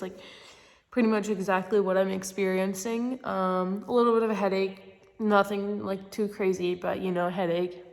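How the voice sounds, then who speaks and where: A woman's voice: medium pitch, big-room sound. A young adult woman with a congested, stuffy-nosed voice, sick, chatting casually and in a rambling, informal way as a vlogger. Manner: informal, rambling, heavy use of "like". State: sick with a cold-like congestion and cough. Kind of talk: casual personal chat to camera.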